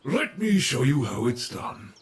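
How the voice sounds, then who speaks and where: An earnest, upbeat animatronic bear, acted by a man for an audio roleplay. He is naive and formal, speaking in a lively, swooping mid-range voice with faint noise behind it. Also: mid-range voice, measured pace. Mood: chipper. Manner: earnest, formal wordy phrasing. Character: cheerful, naive, literal-minded male animatronic bear.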